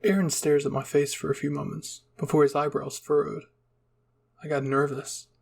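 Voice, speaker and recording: Guy reading anime fanfic out loud, husky and a bit high, soft dramatic storytelling. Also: level tone, normal speed. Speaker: narrator. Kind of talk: first-person fan-fiction roleplay reading.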